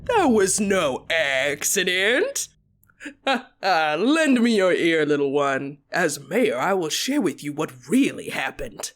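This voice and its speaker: A man's voice: a little high, big pitch swings, takes it slowly, fairly bright tone, a little hiss or hum, heavily acted. A man voicing a self-important, sinister monster villager in a comic dub, theatrical and gloating. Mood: gloating, dramatic. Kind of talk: comic dub cartoon acting.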